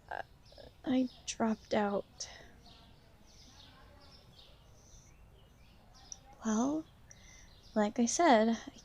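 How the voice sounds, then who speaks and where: Shy, insecure young female vampire, played by a woman, for ASMR roleplay; mellow, creaky voice, halting and apologetic. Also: fairly high pitch, exaggerated voice. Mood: hesitant. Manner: hesitant, halting, soft-spoken, apologetic. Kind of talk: ASMR roleplay, intimate one-sided conversation.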